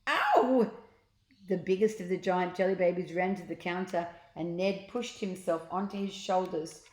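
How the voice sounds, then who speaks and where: A woman reading a children's storybook aloud as an expressive narrator, with deliberate dramatic emphasis. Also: lowish voice. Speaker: storybook reader. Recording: echoey room.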